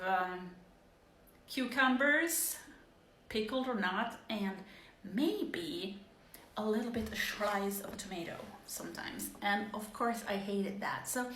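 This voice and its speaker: Slow, rambling woman from Finland in her forties. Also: mid-range voice, fairly bright tone, reverberant. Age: 40s. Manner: rambling, frequent fillers and restarts, wry asides. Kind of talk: casual personal monologue.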